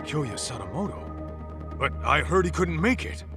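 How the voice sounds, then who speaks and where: A man's voice: a little high, very animated, a little slow, over music. An actor playing a man who questions people politely and persistently in a game dub. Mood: earnest.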